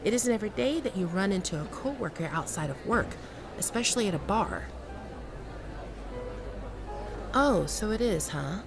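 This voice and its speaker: A woman's voice: mid-range voice, lively intonation, brisk, gravelly, over music. A woman acting a sassy, guarded dominant woman who bickers with a coworker in a one-sided audio roleplay, teasing, sarcastic and easily flustered. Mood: defensive, sarcastic.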